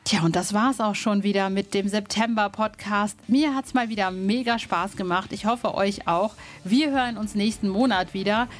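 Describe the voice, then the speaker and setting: A woman's voice: mid-range voice, moderate pace, few pauses. A woman hosting a podcast in an informal, chatty and enthusiastic way. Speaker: podcast host and interviewer.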